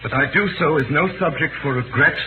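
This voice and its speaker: A man's voice: fairly high pitch, fairly quick, narrow, tinny audio, close to an everyday voice. A man acting in a 1930s radio drama as an earnest Englishman resolved on self-sacrifice, speaking in a commanding, solemn, theatrical way. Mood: insistent, grave. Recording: scratchy, band-limited 1938 radio recording.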